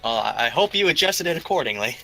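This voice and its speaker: A man plays a tabletop roleplaying game and chats casually. His voice is mid-pitched, bright and forceful, with faint music in the background. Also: sing-song, fast, vocal fry. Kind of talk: casual gaming chat.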